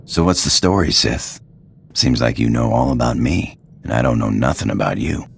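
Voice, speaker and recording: Hot-tempered rogue pilot, extremely deep, gravelly fry, rough slang, video game. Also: male voice, animated, normal speed, slight background noise, exaggerated voice. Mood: wry. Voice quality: gravelly, husky. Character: gruff, cocky space pirate pilot, hot-tempered rogue.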